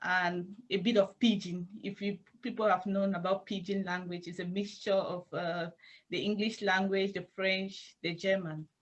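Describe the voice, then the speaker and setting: A woman with a Cameroonian accent answering interview questions as a church intern, in formal, halting, measured phrases. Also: medium pitch, fairly even intonation, muffled recording.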